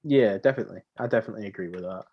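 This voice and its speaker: A man's voice: lowish voice, racing, breathy edge, dull audio. A man co-hosting a podcast in unscripted casual chat, rambling along in a slangy, jokey way. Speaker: podcast co-host.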